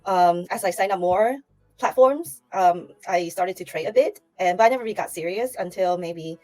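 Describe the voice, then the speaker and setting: A woman's voice: lowish voice, normal speed, darkish tone, low-quality recording. Hesitant Taiwanese woman guest. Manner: hesitant, many fillers and restarts. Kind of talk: casual livestream interview.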